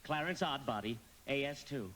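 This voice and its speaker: A man's voice: medium pitch, normal speed, fairly bright tone, slight background noise, lightly acted. A man playing an eager, naive, fussy apprentice guardian angel in a live radio play, speaking earnestly and politely with some hesitation.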